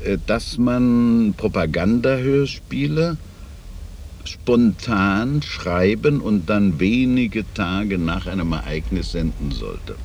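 A man's voice: fairly low pitch, animated, slow, darkish tone. An older man recalling events as an eyewitness in a recorded interview. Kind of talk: spoken recollection in an interview. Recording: faintly noisy archive interview.

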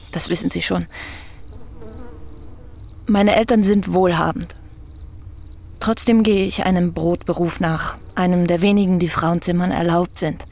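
A young woman acting in a radio drama, playing a self-assured newspaper writer who questions calmly and persistently. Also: on the low side, background noise. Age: young adult.

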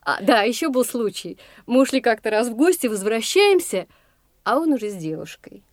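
An actress playing a sharp-tongued, bossy married hostess at a country house in a radio play. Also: female voice, on the high side, expressive, normal speed, dry recording, clean studio sound, close to an everyday voice. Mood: exasperated gossip. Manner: chatty, bossy, exclamatory.

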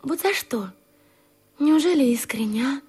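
High, swooping, volatile golden woman. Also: lively intonation, unhurried, a little mellow. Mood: tender, wistful. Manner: coquettish, exclamatory, swings from coaxing to indignation. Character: golden woman, naive, tender, touchy and volatile lover. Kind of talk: theatrical radio play acting. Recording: studio radio play with music.

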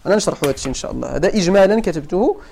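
A middle-aged Moroccan man with a high voice and a very animated, swooping pitch teaches a grammar lesson. He speaks with a Moroccan accent, checks whether his students follow, and repeats each point several times to drive it home. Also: very fast, creaky, slight background noise. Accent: Moroccan Arabic. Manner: questions the students often, repeats points for emphasis. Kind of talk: classroom lesson.

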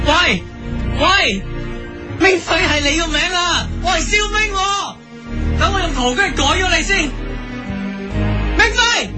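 An actor with a Hong Kong Cantonese accent playing an obsessed, defensive young eccentric in a comedic radio drama, insistent and whiny. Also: male voice, very high-pitched. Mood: agitated. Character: obsessed, excitable eccentric young man, defensive about correction fluid.

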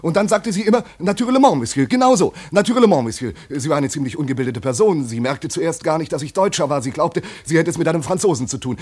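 An actor playing a nervous, evasive former German official in a radio drama, speaking hesitantly and deferentially. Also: male voice, fairly high pitch, quick pace, hardly any pauses, darkish tone, slightly rough. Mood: agitated, recounting.

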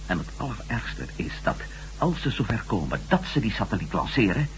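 An actor playing a bold, earnest ex-astronaut adventurer in a Dutch science-fiction radio drama. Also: male voice, on the low side, big pitch swings, fairly quick. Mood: urgent, grave. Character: bold male ex-astronaut reporter turned adventurer. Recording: noisy, humming old radio recording.

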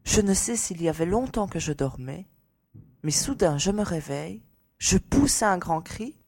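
A woman playing a frightened but composed young lady giving testimony in a dramatised audiobook, with a breathy voice. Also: lowish voice, expressive, unhurried, darkish tone, full character voice. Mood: shaken.

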